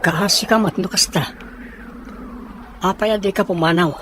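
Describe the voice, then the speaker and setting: A man playing a sheltered, sympathetic young man from a rich family in an audio drama, hesitant and gentle, with a northern Philippine accent. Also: high-pitched, steady tone, normal speed. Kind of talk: acted audio drama dialogue. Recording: faint background noise.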